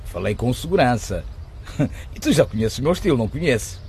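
Pompous, husky father with swooping delivery. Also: medium pitch, very animated, a little fast, darkish tone, full character voice. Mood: smug, amused. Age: middle-aged. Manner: pompous, chuckling. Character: pompous, self-important executive father, smug and jovial. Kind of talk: radio drama acting.

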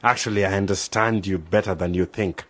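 Low-pitched, genial institute director. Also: male voice, steady tone, brisk, a bit bright. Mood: patient, helpful. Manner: formal, patient, explanatory. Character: genial, helpful male director of a journalism institute. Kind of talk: radio drama acting.

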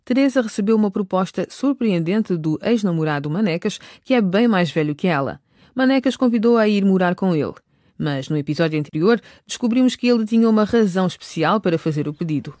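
A woman narrates a radio drama from a script. Her voice sits at a medium pitch, and the recording is dry, with little room sound. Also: lively intonation, a little fast, a little mellow, no room sound.

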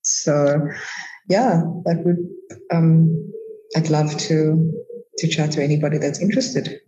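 A woman's voice: low-pitched, fairly even intonation, slow, dark tone, faint music behind. A woman chatting informally and encouragingly as a podcast guest, on slightly lo-fi audio. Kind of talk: casual conversational interview.

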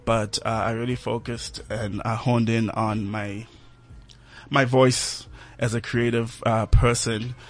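A man with a South African accent, a Zulu speaker, answering interview questions in a measured, reflective way. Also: mid-range voice, flat delivery. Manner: measured, reflective, softening toward the end of phrases. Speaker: film director, studio guest. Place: radio studio.

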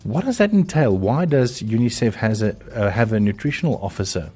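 A man's voice: on the low side, lively intonation, a little fast, warm tone, breathy edge, faint music behind. A man with a South African accent hosting a radio talk show in a studio, chatty and rambling with many fillers.

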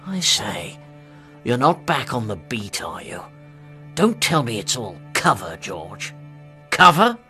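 A man's voice: very animated, takes it slowly, bright, forceful tone, soft background music, heavily acted. A man voicing a pompous, fleshy upper-class English bachelor in an audiobook, effusive and nosy, with a confiding bellow that can drop to a murmur. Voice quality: booming bellow dropping to a murmur. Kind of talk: audiobook character acting.

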